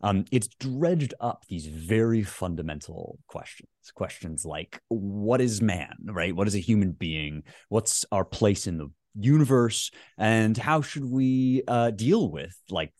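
A man speaking as a guest author in an interview, thoughtful and academic, pausing often with fillers as he reasons. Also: fairly low pitch, expressive, darkish tone, no echo, studio quality. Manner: thoughtful, halting, many fillers.

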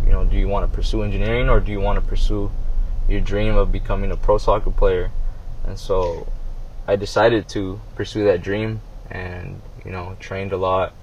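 A man's voice: low voice, a little mellow, dull audio, a little hiss or hum. A young man from Southern California, a professional soccer player, talking earnestly as an interview guest, with lots of fillers and restarts. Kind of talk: casual interview.

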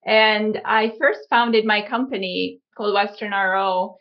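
Vocal fry, woman from Hungary, mid-pitched, conversational interview guest, dull lo-fi recording. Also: fairly even intonation, measured pace. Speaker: guest, company founder.

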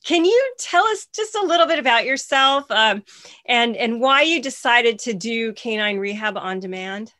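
Bright-voiced woman cohost, excited, kinda high, trips over words a bit. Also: normal speed. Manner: warmly enthusiastic, stumbling over repeated words. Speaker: co-host. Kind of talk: casual interview chat.

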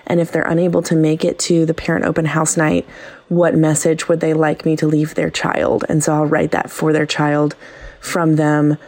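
A woman's voice: deep voice, monotone, moderate pace, a little mellow. A woman teacher leaving a casual voicemail, explaining something from her own work.

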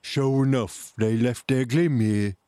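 A man voicing a rough pirate henchman who calls out reports in a storybook adventure. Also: mid-range voice, takes it slowly, dark tone, no echo, voice pushed up, lightly acted. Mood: alarmed.

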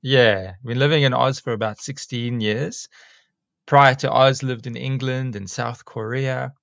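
A man in his forties with a South African accent, a school teacher talking casually as a podcast guest and often pausing with ums and restarts. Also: mid-range voice, steady tone, vocal fry, fairly bright tone, dry recording, clean studio sound. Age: 40s. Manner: rambling, many ums and restarts.

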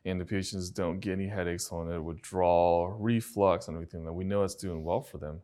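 Low-voiced man from China, halting interviewer. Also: fairly even intonation, warm tone. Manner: halting, repeats words, curious. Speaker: physician host. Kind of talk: casual interview.

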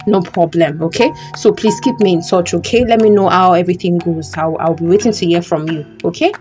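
A woman's voice: fairly low pitch, a little fast, a little mellow, faint music behind, lightly acted. A woman playing a kind, reassuring older female friend in an audio drama, with a Nigerian accent. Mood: caring. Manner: reassuring, repetitive.

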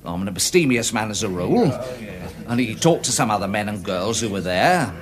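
A man's voice: lowish voice, lively intonation, brisk, a crowd in the background, lightly acted. An actor playing a respectable, embarrassed little Englishman giving evidence in a radio drama, prim, hesitant and stammering. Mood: sheepish, confessional.

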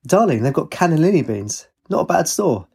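A man's voice: fairly high pitch, big pitch swings, brisk, gravelly, darkish tone, husky, pitched above the performer's own voice, full character voice. A man voicing a boisterous, big-hearted middle-aged father in a story, teasing and forthright.

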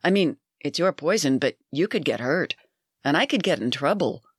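A narrator voicing a calm, cautious male bartender in a dry recording. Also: mid-range voice, moderate pace, slightly rough, no room sound. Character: weary, careful male bartender.

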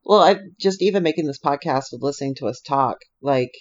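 A woman's voice: a bass voice, brisk, highs cut off. A woman co-hosting a podcast in casual chat, swearing freely and acting out quotes as she tells stories. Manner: swears freely, animated storytelling with put-on quotes. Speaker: podcast co-host.

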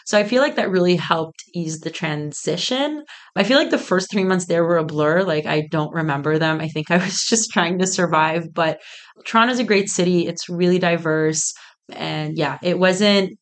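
Lowish-voiced young woman, casual storyteller. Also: moderate pace. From Egypt. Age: around 30. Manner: informal, peppered with "like" and quoted asides. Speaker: interview guest, business founder.

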